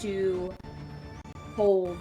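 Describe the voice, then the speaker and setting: A woman's voice: medium pitch, level tone, extremely slow, dull audio, over music. A woman chatting casually as a tabletop game player, thinking aloud in a hesitant way. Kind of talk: casual unscripted game play chat.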